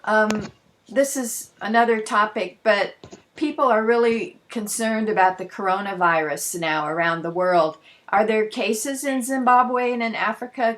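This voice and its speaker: A woman's voice: mid-range voice, a bit bright. Curious woman interviewer with probing questions.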